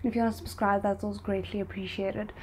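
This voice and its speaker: A woman's voice: medium pitch, level tone, fast talker, a little mellow, a little hiss or hum. A woman hosting a reaction video, giving casual commentary and thinking aloud as she goes.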